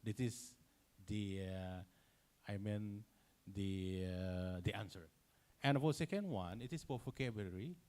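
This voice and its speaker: A middle-aged man from Sumatra with an Indonesian accent, answering a conference question unscripted, halting and full of fillers and self-corrections. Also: lowish voice, a little mellow. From Kerinci, Sumatra, Indonesia. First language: Indonesian regional dialect.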